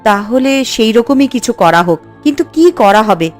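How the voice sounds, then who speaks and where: A woman's voice: on the high side, animated, moderate pace, lightly acted. Woman voicing a homely village housewife, music behind. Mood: hopeful, practical. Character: hopeful village housewife, a contest cook. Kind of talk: Bengali village cartoon dialogue. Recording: music under the voice.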